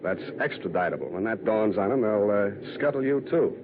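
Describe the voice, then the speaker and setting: A man's voice: on the low side, animated, moderate pace, narrow, tinny audio, noisy background, natural voice. An actor playing a cool, wisecracking male private detective with a dry, sardonic, slangy delivery in 1940s radio noir style. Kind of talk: 1940s radio acting, noir narration and dialogue. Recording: thin vintage radio recording with music.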